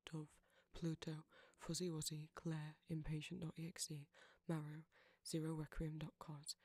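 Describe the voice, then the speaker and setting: Male audio creator reading an outro, breathy and soft, narrow pitch movement, dry close sound. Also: monotone, normal speed, no echo. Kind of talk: scripted outro, reading supporter names.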